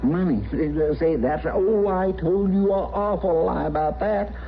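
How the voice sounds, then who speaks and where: An actor playing a meek, simple-hearted Western helper, stammering and deferential in a folksy rural American drawl, in 1950s radio western style. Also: male voice, sits high, unhurried, vocal fry. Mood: pleading. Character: meek, flustered, simple-hearted frontier deputy's helper in trouble. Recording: dull, noisy 1950s radio recording.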